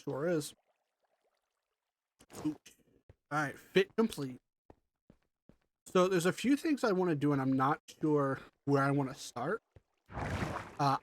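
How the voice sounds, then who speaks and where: A man's voice: medium pitch, noisy background. A man streaming a block-building game, congested from allergies, thinking aloud and rambling as he builds. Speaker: livestream gamer. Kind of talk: casual gameplay commentary.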